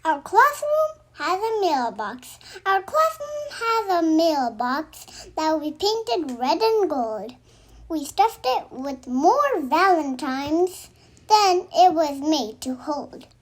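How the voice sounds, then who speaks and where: Very high, animated, youthful female storyteller. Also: slow. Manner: animated, expressive reading. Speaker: children's book narrator.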